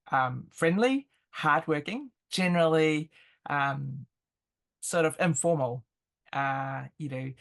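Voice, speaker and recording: A woman with a New Zealand accent speaking as an interview guest, conversational and reflective, feeling her way through halting phrases. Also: deep voice, vocal fry.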